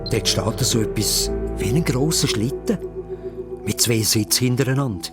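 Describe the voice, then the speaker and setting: A man's voice: mid-range voice, moderate pace, loud music behind the voice, lightly acted. A man with a Swiss accent narrating a children's radio play as a dramatic storyteller. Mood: suspenseful, animated. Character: lively storyteller of a children's adventure.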